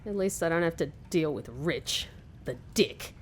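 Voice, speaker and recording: A woman's voice: low voice, animated, a little slow, a little hiss or hum. An actress playing a sarcastic, defiant young woman in a fantasy comedy audio drama, wry and outspoken. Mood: sarcastic, indignant.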